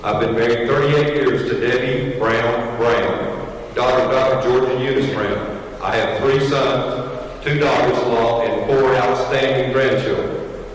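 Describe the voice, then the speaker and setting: Measured man in his sixties, echoey hall. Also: medium pitch, fairly even intonation, recorded from a distance. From Haywood County, North Carolina. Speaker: county commissioner candidate. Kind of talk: prepared candidate statement.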